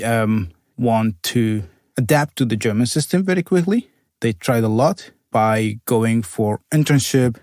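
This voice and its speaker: Gravelly-voiced man, Arabic native speaker. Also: mid-range voice, darkish tone, no room sound, studio quality. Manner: careful, searching for words, slightly non-native phrasing. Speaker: university program coordinator. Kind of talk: conversational interview answers.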